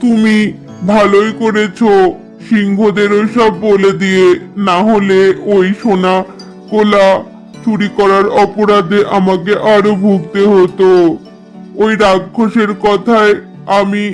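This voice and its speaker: A man's voice: high-pitched, level tone, takes it slowly, pauses often. A man voicing a remorseful, humbled fox in a children's cartoon, pleading earnestly. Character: remorseful male fox, humbled thief begging forgiveness. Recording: music under the voice.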